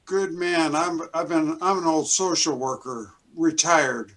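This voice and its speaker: A retired social worker chatting easily on a video call. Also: male voice, fairly high pitch, fairly even intonation, a little slow, fairly bright tone, low-quality recording. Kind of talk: casual video call chat.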